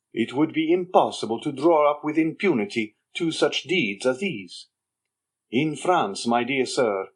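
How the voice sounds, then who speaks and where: A man voicing a sly, mocking young man with a husky voice, speaking slowly and insinuatingly. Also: medium pitch, pitched above the performer's own voice. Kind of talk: audiobook character reading.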